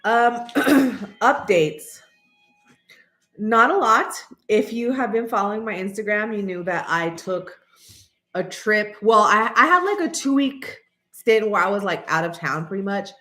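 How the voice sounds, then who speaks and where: A woman in her late thirties, stuffy and nasal from allergies, chatting casually and rambling to her viewers while often clearing her throat. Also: medium pitch, expressive, measured pace, bright, forceful tone, roomy sound. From American. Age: 30s. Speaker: channel host. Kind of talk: casual vlog chat.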